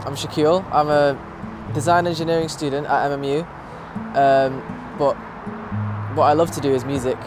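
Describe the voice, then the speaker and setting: Thoughtful young man, fairly high voice. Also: level tone, moderate pace. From raised in Manchester, born in London. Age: young adult. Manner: thoughtful, searching for words. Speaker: student interviewee. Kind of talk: documentary interview. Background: music.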